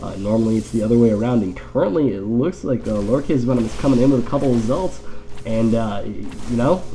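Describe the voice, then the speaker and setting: A man's voice: medium pitch, expressive, brisk, dark tone, a little hiss or hum, low-quality recording. A man with a nasal, congested voice from allergies gives casual live game commentary with wry, rambling, joking asides. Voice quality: nasal, stuffed-up.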